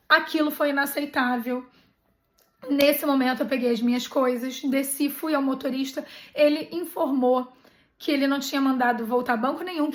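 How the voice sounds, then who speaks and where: A middle-aged Brazilian woman, a travel channel host, retelling a bad bus trip in an animated, indignant way, with many restarts. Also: high voice. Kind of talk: personal storytelling vlog.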